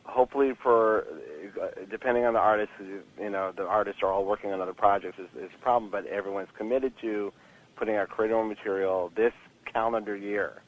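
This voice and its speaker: A man with a fairly low voice talks as an interviewed writer on a casual podcast. He is informal and candid, often stopping and restarting his sentences as he rambles along, with a joking streak. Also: steady tone, brisk, poor audio. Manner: rambling, stop-start delivery with many false starts. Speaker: comic book writer being interviewed.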